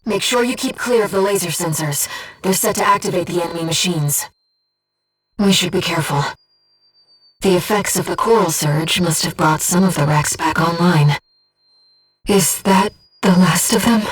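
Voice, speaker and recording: A woman playing a gentle, disembodied female mission operator in a video game, earnest and composed, with clearly enunciated tactical callouts. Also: lowish voice, normal speed, far from the mic, faint music behind, poor audio. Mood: composed, briefing. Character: gentle disembodied female voice guiding a mercenary pilot.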